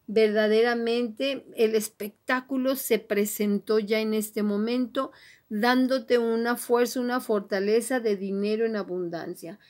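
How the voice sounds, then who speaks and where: A woman's voice: a little high, steady tone, a little slow, a little mellow. A woman card reader speaking straight to the listener in an encouraging, exhorting manner, repeating her urgings as she gives a motivational horoscope reading. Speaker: tarot and oracle card reader, host.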